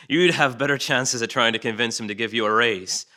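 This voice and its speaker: A man's voice: medium pitch, normal speed, sharp tone, close to an everyday voice. A man playing a jaded, callous veteran police detective at a drama table read, blunt and sarcastic. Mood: sardonic.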